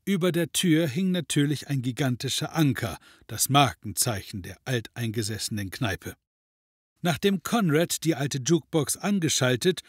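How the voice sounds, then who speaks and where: A man's voice: mid-range voice, slightly rough, dry recording, clean studio sound. A man narrating a scripted comedy audio drama in a wry, descriptive storytelling manner.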